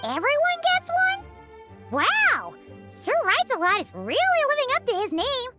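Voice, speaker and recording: A woman voicing a tiny, excitable, cheeky childlike fairy companion in a video game, squeaky and bubbly. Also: moderate pace, over music, full character voice. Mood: excited. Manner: bubbly, exclaiming, refers to self in third person. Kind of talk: animated video game acting.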